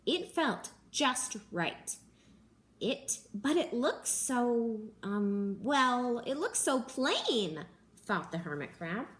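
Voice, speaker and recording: A young woman, a teacher, reading a picture book aloud to children at home in a gentle, animated storytelling manner. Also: fairly high pitch, very slow. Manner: gentle, animated storytelling with gasps and character voices. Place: living room at home.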